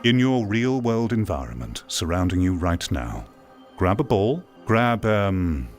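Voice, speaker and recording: Low-voiced man, emphatic commentator. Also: very animated, unhurried, faint music behind. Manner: emphatic, repeats words for stress. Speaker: video host, VR commentator. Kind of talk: opinionated commentary to viewers.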